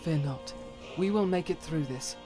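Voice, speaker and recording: A woman's voice: sits low, moderate pace, over music, lightly acted. A woman playing a devout, stern warrior companion in a fantasy game, speaking solemnly in formal, archaic phrases. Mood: resolute.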